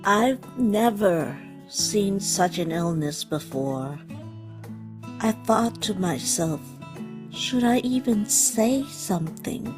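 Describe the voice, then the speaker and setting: A woman voicing a gentle, caring monster mother in a dramatic comic dub, speaking earnestly and with resolve. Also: mid-range voice, expressive, slow. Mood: worried, tender. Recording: voice over background music.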